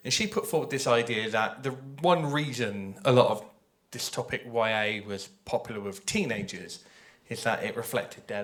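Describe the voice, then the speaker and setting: A man, a writer on a live panel, explaining casually in an animated, self-correcting way. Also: fairly low pitch.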